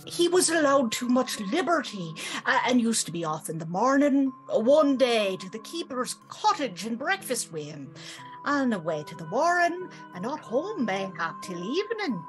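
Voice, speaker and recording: A woman's voice: fairly high pitch, sing-song, normal speed, faint music behind, low-quality recording. A woman playing a secretive older servant telling tales in rustic, old-fashioned phrases for a gothic audio drama. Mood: hushed storytelling. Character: knowing, gossiping older female servant, secretive storyteller.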